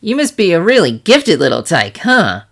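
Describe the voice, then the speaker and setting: A woman's voice: on the low side, huge pitch swings, normal speed, vocal fry, breathy edge, exaggerated voice. A woman voicing a brash, playful younger pegasus pony mare with a breezy, teasing manner in a story reading. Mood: curious, playful. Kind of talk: fan fiction character reading.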